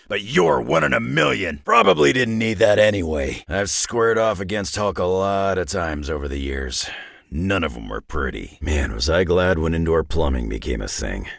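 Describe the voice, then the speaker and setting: A battle-worn old brawler for a video game. The voice is extremely low and full of gravel, husky at the edges. The delivery is terse, wry and cynical, like a folksy tough guy who has seen it all. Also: fairly bright tone, no echo, studio quality, full character voice. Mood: aggressive, menacing. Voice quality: gravelly, growling rasp. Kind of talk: video game character voice lines.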